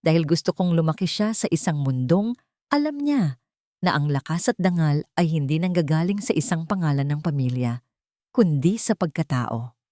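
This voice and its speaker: A woman's voice: deep voice, animated, moderate pace, dark tone, dry recording, clean studio sound. A woman voicing a resolute, hopeful young mother in a dramatic story, speaking in firm, solemn declarations. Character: resolute young mother, once victim, now hopeful leader.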